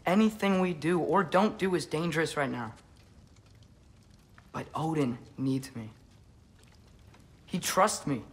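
A man's voice: on the high side, takes it slowly, long pauses, slightly rough, exaggerated voice. A young-sounding man playing an earnest, determined teenage boy in a dramatic video game. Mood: earnest pleading.

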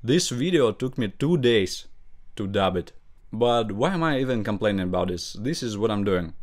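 Young male gaming host, Russian accent, lowish voice, non-native English, home-recorded voice-over commentary. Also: animated. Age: young adult. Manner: halting non-native English with grammar slips.

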